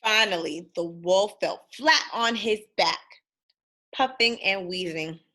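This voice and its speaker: A woman's voice: mid-range voice, pushed and bright. A woman reading a storybook aloud for children in a dramatic manner, speaking directly to her listeners. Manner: dramatic, pausing to ask listeners questions. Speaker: storybook reader. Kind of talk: animated children's read-aloud.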